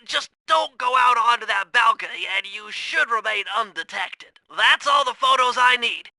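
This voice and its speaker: A man's voice: extremely high pitch, normal speed, bright, forceful tone, dry recording, heavily acted. A man voicing a brainy, earnest young cartoon turtle in a video game, explaining things in precise, technical, wordy instructions. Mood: focused, explanatory. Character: nerdy young male cartoon turtle, brainy, earnest gadget-minded strategist. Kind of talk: video game character voice acting.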